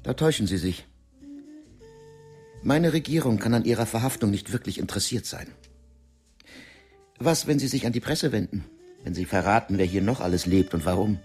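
An actor in a German radio drama playing a controlled, menacing interrogator, speaking in a measured, deliberate and coolly calculating way. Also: male voice, on the low side, animated, darkish tone, husky, soft background music.

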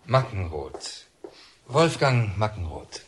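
An actor playing a courteous, ingratiating psychologist in a German radio drama, formal and slightly hesitant. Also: male voice, on the low side, sing-song, slow pace, a little hiss or hum. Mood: polite, flattering.

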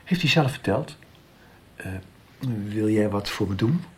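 A man's voice: fairly low pitch, expressive, a little slow, a little mellow. An actor playing a guarded, troubled family man in a radio soap, talking hesitantly and evasively. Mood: coaxing.

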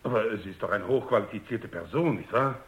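An actor playing a concerned army general in a radio drama, formal and probing. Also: male voice, lowish voice, a little fast, muffled recording, natural voice. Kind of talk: German radio drama acting.

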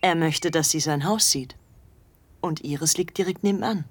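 An actress playing a cool young society woman in a radio play, confiding secrets in a measured, hushed way. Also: low voice, slightly rough. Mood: matter-of-fact.

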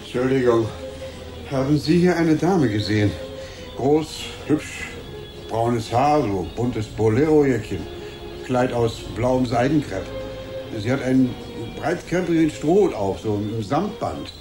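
A man's voice: mid-range voice, animated, measured pace, natural voice. An actor playing a curt, anxious man searching for someone in a radio play. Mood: urgent, searching. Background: music.

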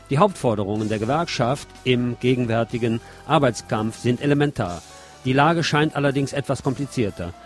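Man hosting a radio magazine over music. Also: medium pitch. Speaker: radio host. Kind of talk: scripted radio magazine narration with personal asides.

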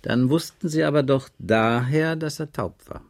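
A man's voice: fairly high pitch, moderate pace, natural voice. An actor playing a persistent male police inspector, questioning in a formal, insistent radio drama manner. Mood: probing, insistent. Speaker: actor in a radio crime play. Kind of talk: 1980s radio drama dialogue.

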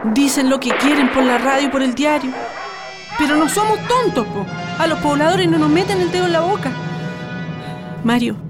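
Actress doing worried chilean wife, high urgent voice, radio drama, music under it. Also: female voice, normal speed, lightly acted. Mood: defiant. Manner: agitated, urgent, pleading. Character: anxious, defiant shantytown wife and mother.

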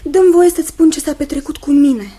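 Radio drama role: sweet young fiancée played by a woman, high voice, earnest and unhappy, tender, hesitant, seeking agreement. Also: dark tone, slight background noise, natural voice. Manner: tender, hesitant, repeats phrases, seeks agreement. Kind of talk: Romanian radio theatre acting.

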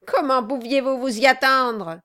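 Woman acting out a storybook dwarf, male, malicious, sneering, bullying, loud commands, raised pitch. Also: animated, slow, smooth. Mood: irritated sneering. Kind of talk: dramatized audiobook reading.

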